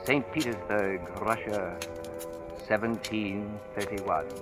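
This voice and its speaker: An actor playing a brooding storyteller in an old-time radio horror drama, narrating with deliberate, suspenseful, theatrical phrasing. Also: male voice, lowish voice. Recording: vintage radio recording with music behind. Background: dramatic music.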